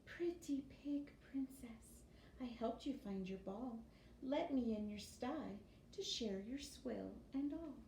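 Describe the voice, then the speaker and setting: A woman's voice: a little high, expressive, takes it slowly, warm tone, distant mic, pitched above the performer's own voice. A woman voicing a persistent little boy in a storybook, coaxing and pleading. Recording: echoey room with background noise.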